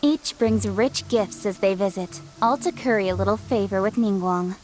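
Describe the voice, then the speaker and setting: A woman playing a brisk, businesslike young official in a video game, formal and matter-of-fact. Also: mid-range voice, darkish tone, natural voice. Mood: composed, brisk.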